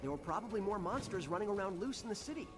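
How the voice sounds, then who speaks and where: An excitable young turtle inventor with a high, animated voice. He explains everything precisely and at length in a game cutscene, music playing behind him. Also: rapid-fire. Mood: explanatory. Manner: precise, wordy, enthusiastic explaining.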